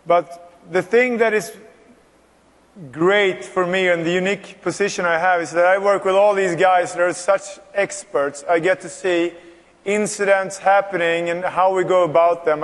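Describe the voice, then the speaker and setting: A man in his forties with a fairly high voice, chatting and bantering in front of a conference crowd. He jokes easily and keeps things light between technical points. The long echo of a large hall surrounds him. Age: 40s. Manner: bantering, jokey, laughs often. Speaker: security presenter. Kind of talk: joking conference co-presentation.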